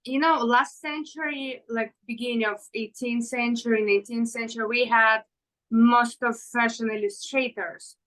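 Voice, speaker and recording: A woman in her thirties from Ukraine, a fashion designer answering interview questions in halting with many fillers. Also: a little high, steady tone, a bit bright, poor audio. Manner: halting non-native English with fillers.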